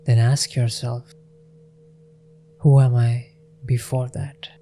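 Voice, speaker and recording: A man leading a guided meditation in a gentle, unhurried manner with long pauses. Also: mid-range voice, little pitch movement.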